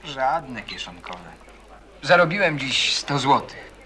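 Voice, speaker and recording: A man's voice: mid-range voice, big pitch swings, takes it slowly, pushed and bright, full character voice. A man playing a moody, teasing teenage boy in a radio play, nonchalant, laconic and secretive. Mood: sly. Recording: noticeable background noise and ambience.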